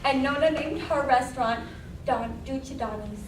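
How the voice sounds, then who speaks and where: A woman's voice: high voice, unhurried. A woman playing a proud, scornful restaurant matriarch, telling family legend theatrically and emphatically in a stage comedy. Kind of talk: amateur stage comedy acting. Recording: echoey stage, distant mic, faint noise.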